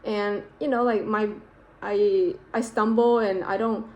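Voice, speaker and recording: A woman's voice: mid-range voice, fairly even intonation, measured pace, darkish tone, dull audio. A woman talking to her camera in a casual vlog, rambling and self-reflective with many fillers and restarts, a native Vietnamese speaker. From United States, California. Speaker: vlogger.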